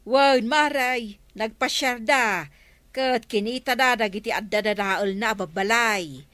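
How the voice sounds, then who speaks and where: A woman's voice: fairly high pitch, a little slow, a bit bright, no echo. A woman with an Ilocano Filipino accent playing a dutiful, practical village councilwoman in a radio comedy drama. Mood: helpful, explaining.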